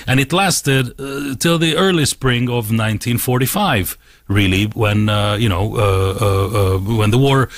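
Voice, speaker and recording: A middle-aged man with a Hungarian accent, a guest rabbi and editor answering interview questions in a halting way with many fillers. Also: mid-range voice, no echo, studio quality.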